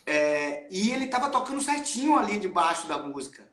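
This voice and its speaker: High-voiced Brazilian man in an echoey room. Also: sing-song, a little fast, fairly bright tone. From Salvador, Brazil. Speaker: host of a music live stream. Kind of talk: casual chat. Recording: roomy, echoey live stream sound.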